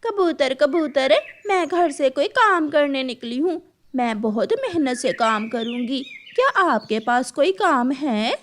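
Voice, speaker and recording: A woman's voice: sits high, lively intonation, measured pace, hardly any pauses. A woman voicing a lazy young female crow who pleads earnestly in a cartoon. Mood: earnest request.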